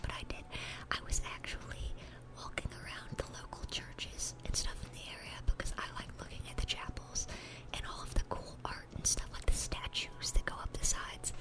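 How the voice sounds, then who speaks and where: A woman's voice: close to an everyday voice, low-quality recording. Whispering dorky demon girl, voiced by a woman. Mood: eager, nervous. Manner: rambling, stammering, eager and awkward. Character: dorky, chatty, sweet demon girl with horns and fangs. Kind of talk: whispered ASMR roleplay.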